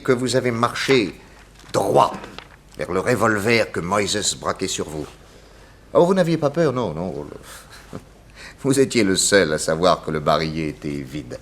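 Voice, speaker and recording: Deep-voiced, commanding detective. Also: male voice. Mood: accusing, emphatic. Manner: theatrical, deliberate, accusatory, lecturing. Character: shrewd, authoritative detective exposing a murderer. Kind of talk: 1960s French radio drama acting.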